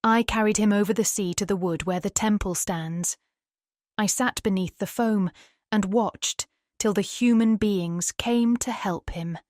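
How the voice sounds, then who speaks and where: A woman's voice: medium pitch, unhurried, darkish tone, a little hiss or hum, voice pushed up, natural voice. A woman voicing a young mermaid in a fairy tale audiobook, tender, devoted and sorrowful, speaking earnestly in British English. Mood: wistful sorrow. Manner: earnest, wistful.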